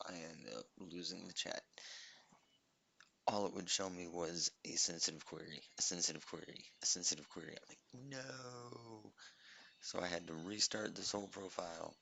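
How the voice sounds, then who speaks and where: A man with a raspy voice, chatting casually to viewers as he plays through a game. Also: low-pitched, pushed and bright. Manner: chatty, talking to viewers while playing. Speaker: gameplay narrator. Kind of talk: casual let's-play commentary. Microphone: cheap microphone.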